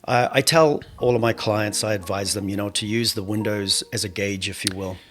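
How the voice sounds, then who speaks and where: Middle-aged New man, low voice. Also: a little fast. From New Zealand. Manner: steady, advisory, with frequent fillers. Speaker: home inspector guest. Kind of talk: conversational interview.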